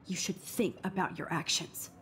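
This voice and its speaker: A woman's voice: fairly low pitch, steady tone, moderate pace, fairly bright tone, a little hiss or hum, poor audio. A woman voicing an earnest, plain-spoken young photography student in a story-driven video game. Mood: angry, confrontational. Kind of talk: narrative video game acting.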